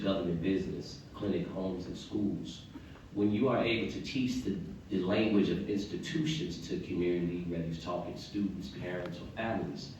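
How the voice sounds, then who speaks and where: A man's voice: on the low side, darkish tone, slight background noise. A man speaking as a panelist, emphatic and listing points in rhythmic runs. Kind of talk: panel discussion. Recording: echoey room, mic far off.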